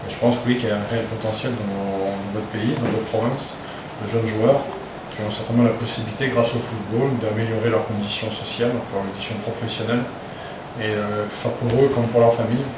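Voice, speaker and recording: Low-voiced coach in a reverberant room. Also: male voice, fairly even intonation. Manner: measured, advisory tone. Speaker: football coach and consultant. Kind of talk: formal speech at a meeting. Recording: very echoey room with background noise.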